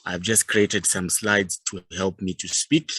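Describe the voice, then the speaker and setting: A man with a Zimbabwean accent giving a formal presentation as a youth organization leader, speaking in a measured, formal way with pauses. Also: sits low, fairly even intonation, fairly bright tone, slightly rough, dry recording.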